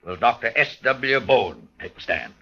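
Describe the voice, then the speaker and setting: An actor playing a formal, probing prosecutor in old radio drama, speaking with precise, deliberate oratory. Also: male voice, mid-range voice, animated, bright, forceful tone. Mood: solemn, declamatory. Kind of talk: 1940s-50s radio courtroom acting. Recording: muffled old radio recording.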